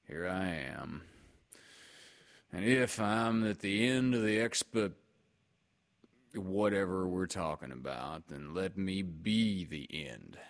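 Man voicing Eeyore, a very low, gloomy donkey. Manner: glum, slow, ponderous, resigned. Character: Eeyore, gloomy grumbling long-suffering donkey.

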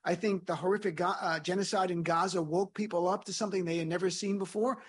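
A middle-aged man speaking as an interview guest, an animated and emphatic storyteller. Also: sits high, fast, breathy edge. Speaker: guest, comedian and lawyer. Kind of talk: panel interview.

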